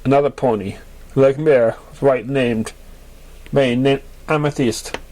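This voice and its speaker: A man narrating scripted fiction as an amateur reader, halting and sometimes stumbling over his words. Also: mid-range voice, fairly even intonation, warm tone, a little hiss or hum.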